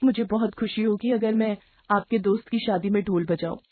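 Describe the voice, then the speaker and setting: A woman's voice: medium pitch, fairly even intonation, normal speed, phone-quality sound, lightly acted. A woman voicing a poor, determined young girl drummer in a story, speaking politely and pleadingly. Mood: grateful.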